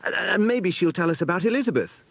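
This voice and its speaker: An eager British schoolboy takes charge of his club in a children's storybook, performed by a grown man. He is brisk and keen, pitched up and full of bounce. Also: expressive, vocal fry, no echo, full character voice. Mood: eager, polite.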